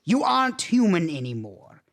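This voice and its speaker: Man playing a high-pitched, formal vampire count. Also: very animated, moderate pace, fairly bright tone, full character voice. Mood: exasperated, instructing. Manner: formal, stilted phrasing, out of touch with slang. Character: courtly, flustered old vampire count and father. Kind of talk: campy horror-comedy film acting.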